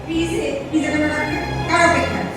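An ageing woman acted by a woman grumbles in a very high, dramatic voice in a stage comedy play. She is sleepy, self-pitying and easily indignant. She sounds far off in a booming hall, over loud music. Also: level tone, fast talker, close to an everyday voice. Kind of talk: staged audio-play reading. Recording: echoey hall, distant mic, loud music behind.

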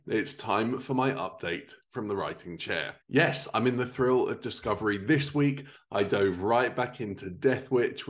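A man in his thirties with a fairly low voice hosts a podcast. His delivery is upbeat and animated, as if presenting prepared segments to listeners. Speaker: podcast host. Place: living room at home.

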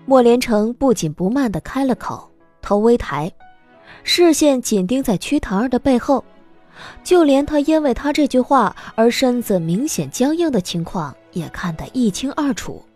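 A woman's voice: a little high, lively intonation, normal speed, darkish tone, soft background music. A woman narrating a scripted story as the narrator of an audio drama.

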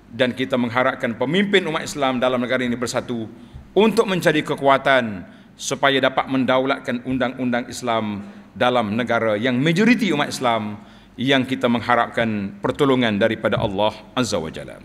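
A middle-aged man with a Malaysian Malay accent giving a declamatory Friday sermon from a mosque pulpit, slowing down to stress key words. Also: mid-range voice, a bit bright. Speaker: Friday preacher. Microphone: two pulpit microphones on stands. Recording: long reverb of a large mosque hall.

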